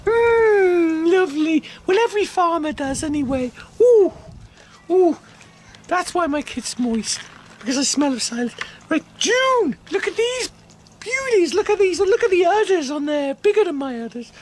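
A middle-aged man voicing a flirty, daft woman supermodel from Sweden, with a fake Swedish accent drifting Irish and a camp, breathy manner. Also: very animated, unhurried, a little hiss or hum, heavily acted. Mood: gushing. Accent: wobbly fake Swedish drifting Irish. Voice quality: high falsetto, camp, breathy ooh-ing. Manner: flirty, rambling, self-mocking. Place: dark barn.

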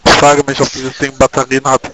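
A man's voice: medium pitch, monotone, fairly quick, background noise. A German man joking around in game chat with playful mock announcements. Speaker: gamer. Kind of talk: joking gameplay chat through an in-game megaphone.

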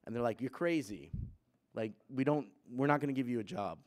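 A man's voice: mid-range voice, a little fast, a little mellow. A man in his fifties from Puerto Rico gives a personal talk to students at a chapel lectern, conversational with many fillers and growing emphatic. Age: 50s. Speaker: chapel speaker. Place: wood-panelled school chapel, students seated behind. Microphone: gooseneck lectern mic.

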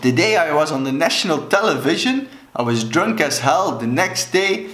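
A man in his thirties from Belgium, a native Dutch speaker with a Belgian Dutch accent, talking earnestly and deliberately to camera as an alcohol coach, gesturing with both hands. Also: a little high, animated, fairly bright tone. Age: 30s. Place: small room indoors, plain wall. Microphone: camera mic, head and shoulders. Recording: echoey room.

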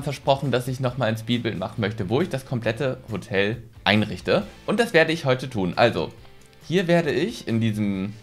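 A man's voice: medium pitch, a bit bright. A man, casually presenting a gaming video as host at a close desk microphone in a small room. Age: 20s. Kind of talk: casual let's-play presenting to camera. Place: small furnished room indoors. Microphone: desk microphone close to speaker. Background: background music.

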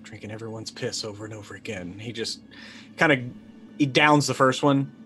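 A middle-aged man playing a tabletop roleplaying game, narrating casually and haltingly as he thinks aloud. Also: mid-range voice, bright, forceful tone, soft background music. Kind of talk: improvised in-character narration, casual. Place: indoor room, video call.

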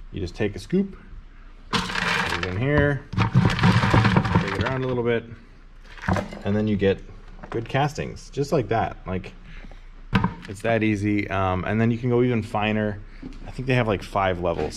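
A man's voice: fairly low pitch, a little hiss or hum. A man in his thirties casually explaining how to start a worm farm, rambling with lots of ums, filmed close in a large barn. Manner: relaxed, rambling, many "um"s. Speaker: worm farmer. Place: large insulated barn or workshop, indoors. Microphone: camera held low, close.

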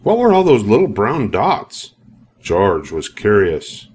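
An older man narrates a children's storybook in a fairly low voice. He reads expressively for young listeners, in a scripted read-aloud style. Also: wildly swooping pitch, slow pace, darkish tone, slightly rough.

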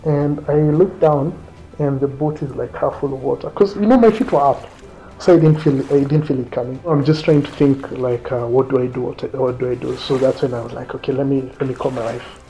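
Mellow Kenyan man telling a story. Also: mid-range voice, fairly even intonation, fairly quick, poor audio. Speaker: interviewee recounting his own trip. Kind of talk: conversational storytelling to camera. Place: small quiet indoor room, seated. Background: faint music.

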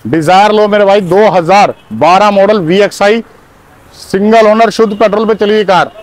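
A middle-aged man with a fairly high voice, selling used cars in a punchy, energetic pitch. He keeps addressing the listeners as his brothers. He is recorded outdoors on a car lot with a clip-on lapel mic. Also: moderate pace, rough recording. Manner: punchy, repeats "my brothers" often.

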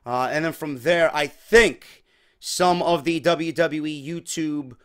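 A young man, his voice slightly worn, hosting a casual wrestling talk show from a small home room on a desk microphone, energetic and chatty. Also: a little high, takes it slowly. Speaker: podcast host. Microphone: desk mic on boom arm.

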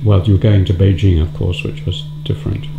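A man's voice: low-pitched, flat delivery, brisk, vocal fry, very noisy. A man interviewing a guest, asking short questions and murmuring agreement. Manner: brief prompts and murmured agreement. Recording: roomy, dull, humming sound.

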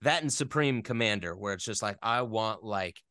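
A man with a clean, fairly low voice talks as a guest in a casual interview. He rambles in an animated, enthusiastic way. The sound is dry, with very little room around it. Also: normal speed, no echo.

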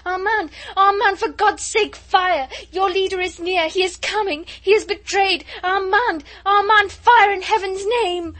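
A woman's voice: extremely high pitch, steady tone, a little slow, few pauses, fairly bright tone, pitched above the performer's own voice. A shrieking, desperate young noblewoman. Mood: screaming. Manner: frantic shrieking and sobbing.

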